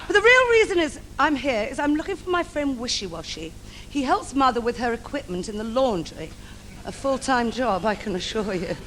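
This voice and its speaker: A woman's voice: a little high, big pitch swings, moderate pace, fairly bright tone. An actress playing a cheerful, cheeky young principal boy in an adult stage pantomime, with theatrical, saucy delivery to the audience. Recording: noisy live theatre stage recording.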